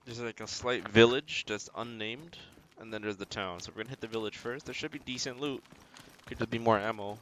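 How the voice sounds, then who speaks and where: Bright-voiced man casually narrating his gameplay. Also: mid-range voice, brisk, a little hiss or hum. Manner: muttering to himself while playing. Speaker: game streamer.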